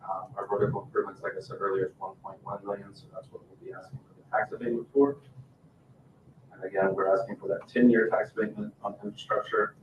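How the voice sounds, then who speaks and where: A man's voice: lowish voice, little pitch movement, quick pace. An American man giving an informal, matter-of-fact business presentation at a public meeting. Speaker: garden center business owner presenting to a town council. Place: indoor town council meeting room. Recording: muffled, echoey meeting room, distant mic, noise.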